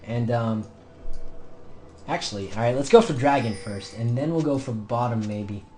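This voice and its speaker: A young man commentating his own online match, shouting through the fights and calm in between, his voice going hoarse. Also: mid-range voice, normal speed, soft background music. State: losing his voice. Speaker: gameplay commentator. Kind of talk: excited gameplay commentary.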